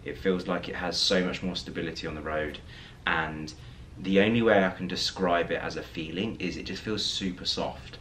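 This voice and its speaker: A young man talking casually to camera in a hotel room, his voice slightly raspy after a late night. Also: low-pitched, moderate pace, slight background noise. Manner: relaxed, talks with hands. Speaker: vlogger. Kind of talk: casual direct-to-camera vlog talk.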